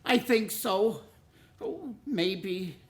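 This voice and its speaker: A man's voice: high voice, big pitch swings, drawn-out words, full character voice. A man playing an absent-minded, flustered old uncle in a radio play. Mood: distraught. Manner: dithering, stammering, taunting when cheerful.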